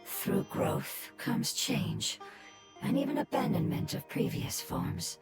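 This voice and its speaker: A woman voicing a solemn, earnest, ancient nature spirit in an anime game, breathy and formal in delivery. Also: fairly high pitch, huge pitch swings, takes it slowly, exaggerated voice. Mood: serene, grateful. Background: music.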